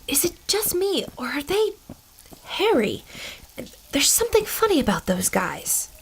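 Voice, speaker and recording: A brave, sharp young adventurer , played by a woman. Her voice rides high and moves freely. She speaks earnestly and alertly. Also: wildly swooping pitch, measured pace, vocal fry, a little hiss or hum, full character voice, rough recording. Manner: earnest, alert narration and dialogue. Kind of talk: audio drama acting.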